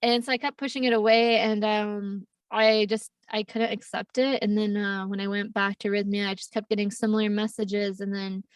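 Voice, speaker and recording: A woman's voice: mid-range voice, flat delivery, normal speed, hardly any pauses, smooth, no echo, far from the mic. A young woman raised in Costa Rica talks through her story as a podcast guest, with frequent laughter and loose, filler-heavy phrasing. Her voice sits a little back from the mic in a dry space. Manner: laughs often, rambling with fillers. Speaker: podcast guest, plant medicine facilitator. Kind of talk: casual interview storytelling.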